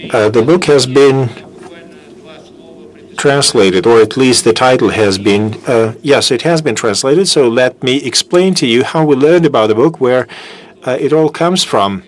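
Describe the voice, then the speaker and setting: A man who heads a business school program, giving a formal, halting welcome speech into a microphone in a lecture room, pausing often on fillers. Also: mid-range voice, low-quality recording. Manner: slow and halting, with many filler pauses. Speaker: business school program head introducing a guest speaker. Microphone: handheld microphone.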